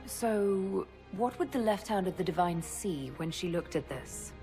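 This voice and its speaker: A woman's voice: on the low side, expressive, measured pace. A woman playing a self-assured, wry military leader in a video game, with a dry, decisive delivery. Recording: soft music behind.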